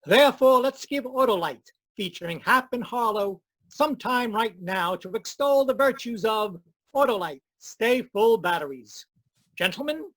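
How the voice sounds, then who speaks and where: A man's voice: very high voice, expressive, measured pace, a bit bright, no room sound, low-quality recording. A man hosting an old-time radio mystery show with theatrical, formal scripted announcing. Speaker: host and announcer.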